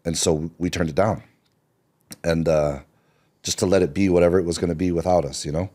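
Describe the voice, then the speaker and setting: A middle-aged man from Seattle, here as a screenwriter on a podcast, with an exceptionally low voice. His tone is dark and mellow. He tells his story in a relaxed, rambling way, full of fillers and restarts. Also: brisk. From Seattle, Washington.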